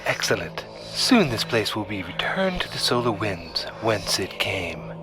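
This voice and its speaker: Sinister, low-voiced zealot leader. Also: male voice, very animated, unhurried, over music. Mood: sinister, pious. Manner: grandiose, formal. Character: smug, sinister male zealot cult leader. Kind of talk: audio drama villain acting.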